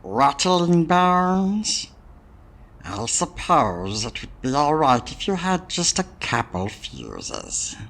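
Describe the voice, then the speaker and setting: Slow, condescending station authority. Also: female voice, sits low, huge pitch swings, creaky, slight background noise, exaggerated voice. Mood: grudging, sly. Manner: drawn-out, condescending, evasive. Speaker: video game character. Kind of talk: noir point-and-click game voice acting.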